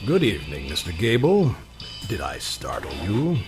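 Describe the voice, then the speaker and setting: An actor playing a sinister, silky magician's mechanic in an audio drama, speaking in a formal, ominous, insinuating manner. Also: male voice, fairly low pitch, wildly swooping pitch, normal speed, music in the background.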